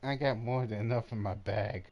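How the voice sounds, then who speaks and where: A man's voice: lowish voice, moderate pace, dull audio. Man casually reacting to gameplay, faint noise. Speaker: game streamer.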